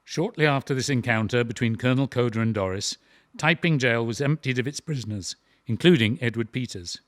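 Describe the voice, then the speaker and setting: A man's voice: mid-range voice, no room sound, clean studio sound. A man narrating a historical audio drama from a script, in documentary style.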